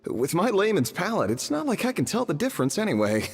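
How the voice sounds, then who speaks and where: A man voicing an easygoing restaurant customer with a husky voice, relaxed and mildly awkward in a video game. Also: sits high, quick pace, long reverb tail, full character voice.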